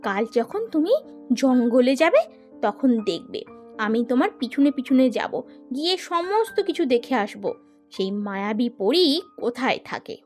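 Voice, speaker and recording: A woman's voice: high-pitched, very animated, normal speed, soft background music. A woman voicing a greedy, nagging, scheming wife of a poor woodcutter in a children's cartoon, exclaiming and wheedling. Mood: sly, scheming. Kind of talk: Bengali children's cartoon voice acting.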